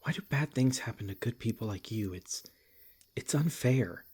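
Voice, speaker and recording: Man acting a deep, husky, tender hunter. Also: expressive. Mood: sympathetic. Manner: gentle, halting, earnest. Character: protective, tender male monster hunter comforting his beloved. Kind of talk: ASMR roleplay, soft intimate acting.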